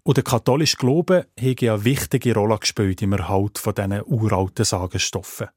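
A man's voice: on the low side, moderate pace, darkish tone, no room sound, clean studio sound. A man with a Swiss German accent reading scripted narration as a radio reporter.

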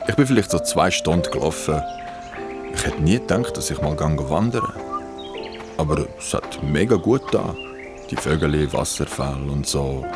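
A man's voice: very deep voice, expressive, normal speed, music in the background. An actor playing a young male hiker, narrating in colloquial first person for a radio drama. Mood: reflective, plain. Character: young male hiker recounting his mishap.

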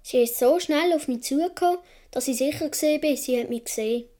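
Woman playing a high-voiced naive Swiss little boy. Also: fast, dark tone, close to an everyday voice. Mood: wistful, matter-of-fact. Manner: naive, colloquial storytelling. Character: seven- or eight-year-old Swiss boy recalling his childhood. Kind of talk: radio play narration.

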